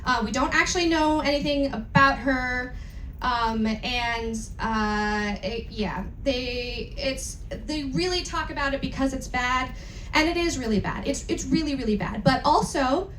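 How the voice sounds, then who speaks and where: A woman's voice: sits high, a little slow, fairly bright tone. A woman hosting a live event, giving an informal, candid and irreverent introduction to an audience. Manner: candid, irreverent, casually sweary. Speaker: event host introducing a dramatic reading. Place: indoor event room on a cruise. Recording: roomy live event sound with noticeable noise.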